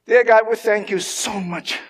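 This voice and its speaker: Halting man preaching. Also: high-pitched, slightly rough. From Hong Kong. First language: Mandarin or Cantonese. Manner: halting, many fillers and restarts. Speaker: pastor. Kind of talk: sermon. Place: church hall. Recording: long church hall reverb.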